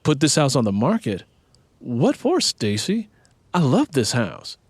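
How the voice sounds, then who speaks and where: A man playing a smooth-talking, teasing husband in audiobook dialogue. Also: medium pitch, wildly swooping pitch, unhurried, dry recording, full character voice. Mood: surprised, reluctant. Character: adult husband, amorous, teasing, content, wary of change.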